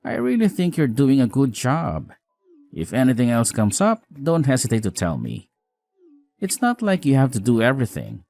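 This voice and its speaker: A man acting as a newlywed husband in an audio story drama, with a widely swinging, animated pitch. He sounds earnest, apologetic and weary yet caring, with music underneath. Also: moderate pace, gravelly. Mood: reassuring.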